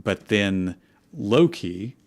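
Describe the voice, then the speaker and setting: A man with a slightly low voice talks casually as a co-host. He goes slowly and haltingly, searching for words as he improvises. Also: lively intonation. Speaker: co-host of a fanfiction reading. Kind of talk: casual chat, improvised recap.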